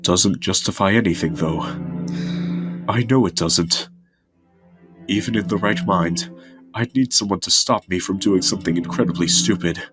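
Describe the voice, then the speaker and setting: A man's voice: deep voice, moderate pace, long pauses, over music, lightly acted. A man voicing a big, grieving monster king and regretful father, earnest and solemn, in a dramatic comic dub. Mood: remorseful.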